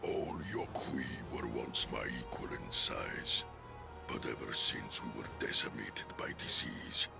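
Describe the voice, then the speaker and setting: A huge, proud giant leader in a game cutscene. His voice sits extremely low and is thick with gravelly fry. He speaks slowly, with solemn, formal dignity. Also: over loud music, heavily acted. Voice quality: very deep, gravelly. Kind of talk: video game cutscene acting.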